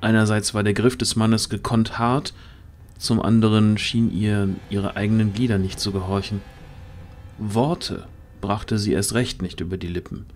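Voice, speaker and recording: A man's voice: fairly low pitch, fairly even intonation, unhurried, a little mellow, faint music behind. A man reading dramatic scripted narration for a fantasy audio drama.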